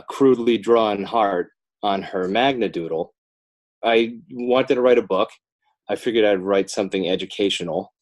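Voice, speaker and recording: A low-pitched man with an American accent talks as a guest in a casual interview. He rambles a little, backs up to correct himself and pieces his answers together in a halting way. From Northern New Jersey, United States. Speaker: podcast guest, children's book author. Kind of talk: casual podcast interview chat.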